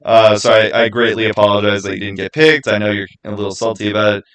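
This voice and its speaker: Casual male streamer chatting haltingly. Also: lowish voice, fairly even intonation, a bit bright. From the Americas. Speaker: live streamer and gaming YouTuber. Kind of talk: casual livestream chat, answering viewer comments.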